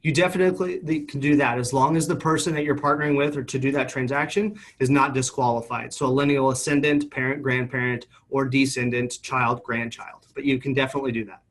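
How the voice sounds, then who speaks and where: Male financial representative, mid-range voice, quick pace, definite and informative delivery in a question-and-answer interview. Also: mid-range voice, steady tone, fairly bright tone. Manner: matter-of-fact, definite, informative. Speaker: retirement account company representative.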